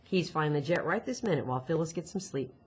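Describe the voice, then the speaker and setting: A woman's voice: deep voice, animated, fast, breathy edge, exaggerated voice. A woman voicing a chatty, upbeat, teasing boy with a caring side in a storybook reading. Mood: chatty, enthusiastic.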